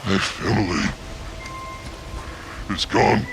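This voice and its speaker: A man's voice: sits low, over music. An actor playing a grieving, indignant old forest sasquatch, the last of his kind, in a video game cutscene. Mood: sorrowful. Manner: mournful, indignant, slow and halting.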